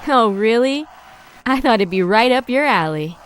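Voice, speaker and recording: A woman's voice: a little high, lively intonation, moderate pace, a little hiss or hum, lightly acted. A woman voicing a sassy, foul-mouthed sidekick in an amateur crime film dub, casual in her talk. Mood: wary.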